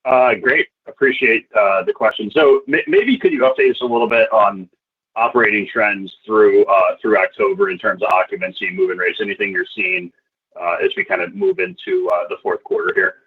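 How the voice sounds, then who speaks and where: A man's voice: lowish voice, steady tone, a little fast. A man speaking as a financial analyst, asking questions on an earnings call over a phone line. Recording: tinny, husky-sounding narrow phone line.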